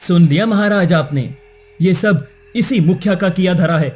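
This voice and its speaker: A man playing a righteous young prince in a cartoon moral story, earnest and formal, with a husky voice. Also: sits high, moderate pace, a little mellow, faint music behind. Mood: accusing. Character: righteous young prince defending a falsely accused girl.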